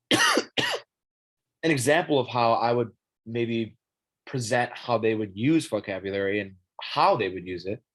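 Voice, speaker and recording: A young man with a somewhat low voice, scratchy from a cold, talking through a casual classroom tech presentation. He stumbles and restarts often, clearing his throat between thoughts. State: scratchy voice with coughing. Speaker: student teacher.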